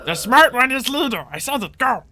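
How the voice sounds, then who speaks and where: Man as a bright-voiced, flustered goblin chief. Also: very high voice, big pitch swings, pitched above the performer's own voice, exaggerated voice. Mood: exasperated. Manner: halting, muddled commands. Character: flustered, scatterbrained male goblin chief, worried and bossy.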